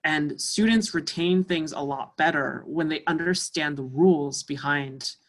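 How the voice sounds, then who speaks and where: A woman's voice: deep voice, unhurried. Canadian musician lady chatting away in an interview, tons of fillers. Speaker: musician guest. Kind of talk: casual interview chat.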